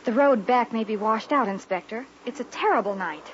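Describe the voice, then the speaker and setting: An actress playing an anxious, refined countess with a melodramatic delivery in an old-time radio play. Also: female voice, on the high side, a little fast, slight background noise, lightly acted. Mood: uneasy. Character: frightened, refined countess, anxious pleading wife. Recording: muffled vintage radio recording.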